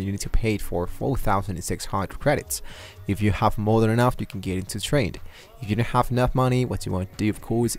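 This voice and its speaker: Low-voiced man rambling through a tutorial. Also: darkish tone. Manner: loose, rambling, many fillers. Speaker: tutorial host. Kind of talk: screen-recorded software tutorial. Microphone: desktop microphone at a computer.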